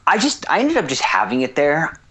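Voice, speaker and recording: A man's voice: on the high side, wildly swooping pitch, brisk, pushed and bright, husky. A man chatting casually as a radio show commentator, slangy and given to jokey exaggeration. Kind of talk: casual chat.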